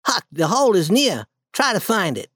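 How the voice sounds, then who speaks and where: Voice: medium pitch, very animated, normal speed, fairly bright tone, husky, dry recording, studio quality, pitched above the performer's own voice. A storybook reader voicing a bold, eager young boy adventurer in a raised voice, confident and persuasive.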